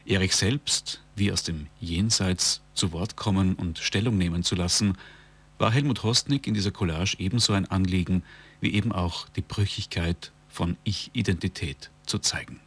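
A man with a husky voice reading scripted narration as the announcer of an audio drama. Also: deep voice, normal speed, a bit bright.

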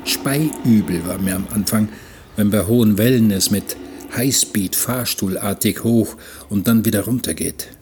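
A low-voiced ship's diarist. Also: male voice, measured pace, a little mellow, slight background noise. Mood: observant. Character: observant novice galley hand keeping a voyage diary. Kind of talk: radio play narration, diary entries.

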